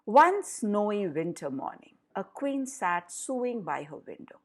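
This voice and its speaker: Slow, expressive woman telling fairy tales. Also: mid-range voice. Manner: slow, deliberate, dramatic emphasis. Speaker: storyteller for children. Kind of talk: expressive fairy tale narration.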